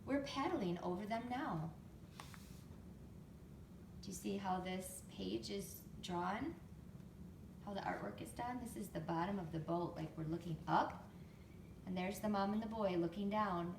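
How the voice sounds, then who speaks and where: A woman reading a picture book to young children expressively, pausing to explain things and ask them questions. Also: fairly low pitch, vocal fry. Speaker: storytime reader, teacher to young children. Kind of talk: picture book read-aloud with chatty asides. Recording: roomy, distant mic, faint background noise.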